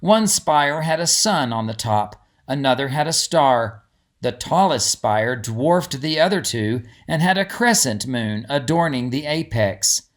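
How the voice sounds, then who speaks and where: A man's voice: medium pitch, lively intonation, unhurried. A man who is an author reads his storybook aloud as scripted narration.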